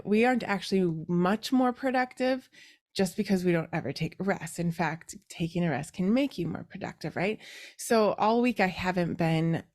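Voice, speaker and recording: Female podcast host, medium pitch, mildly congested and nasal, conversational solo delivery. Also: darkish tone. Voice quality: slightly nasal, stuffy. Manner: chatty, conversational. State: congested from allergies. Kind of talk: casual podcast monologue. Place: indoors, recorded over a video call app.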